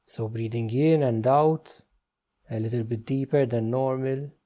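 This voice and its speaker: A man guides a meditation in a dark, relaxed voice of medium pitch. He gives gentle instructions in short phrases and leaves unhurried pauses between them.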